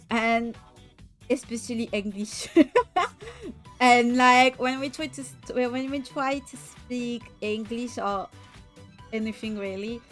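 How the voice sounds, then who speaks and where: A woman's voice: a little high, steady tone, slow, long pauses, a bit bright, soft background music. A woman with a French accent, a native French speaker, chatting casually as a streamer, giggling often and stumbling over repeated words. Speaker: VTuber streamer.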